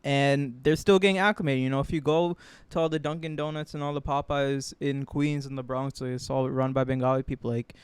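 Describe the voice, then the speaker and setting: A man's voice: mid-range voice, steady tone, brisk, few pauses, clear, resonant tone, no room sound. A young man with an American accent chatting casually as a podcast co-host, slangy, emphatic and earnest.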